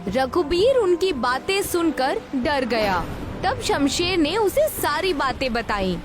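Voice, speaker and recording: High-voiced, unhurried woman narrator. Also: sing-song, over music. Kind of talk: scripted story narration.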